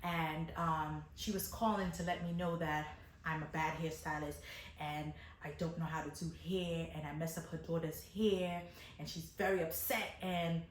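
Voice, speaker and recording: Animated woman storyteller in an echoey room. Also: deep voice, moderate pace, slight background noise. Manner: dramatic retelling, acting out dialogue. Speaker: hairstylist telling a story to viewers. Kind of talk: animated storytime monologue, casual chat.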